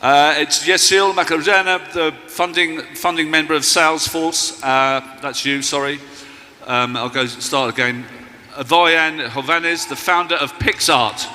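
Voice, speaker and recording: A man's voice: a little high, fairly even intonation, unhurried, sharp tone. A man working as a live event emcee, announcing panelists in a flustered, apologetic way. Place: large conference hall stage. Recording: echoey hall, crowd, background noise.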